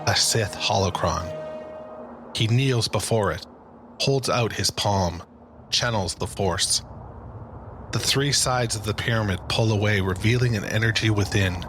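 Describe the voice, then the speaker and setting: A man's voice: on the low side, measured pace. A man delivering dramatic, scripted present-tense narration for an audio drama. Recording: narration under loud music.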